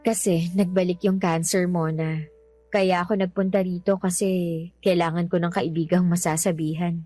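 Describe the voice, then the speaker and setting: A woman's voice: on the low side, steady tone, moderate pace, mellow, no room sound, soft background music, close to an everyday voice. A woman acting in a Filipino radio drama as a feisty, loyal best friend who is ill herself, with a Filipino accent. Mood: feisty, bantering. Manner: feisty, bantering.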